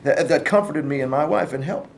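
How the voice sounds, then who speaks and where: Man acting a gruff Confederate sergeant, echoey and distant. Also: on the high side. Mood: firm. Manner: folksy rural speech with halting starts. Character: gruff but decent male Confederate sergeant and farmer. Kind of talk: staged play reading. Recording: echoey room from far off, faint noise.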